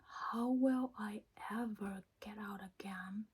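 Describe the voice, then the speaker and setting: A non-native English-speaking woman voicing a curious, talkative storybook girl musing to herself in a breathy ASMR whisper. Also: medium pitch, fairly even intonation, takes it slowly. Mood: worried. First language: not English.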